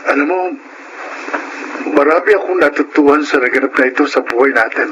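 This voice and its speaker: A man playing Martin, a calm and reassuring husband and father in a radio drama. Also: mid-range voice, brisk, background noise, close to an everyday voice. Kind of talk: Filipino radio drama acting.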